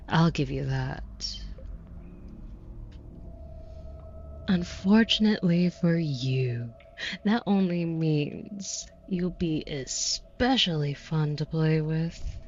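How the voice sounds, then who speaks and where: A woman acts out a seductive female demon in an audio roleplay, taunting and condescending. Her low voice moves very slowly and deliberately, breathy and slipping into whispers. She sounds flirty and quietly menacing, over noisy ambience. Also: muffled recording. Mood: smug, coaxing. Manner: teasing, condescending, slow deliberate delivery dropping into whispers.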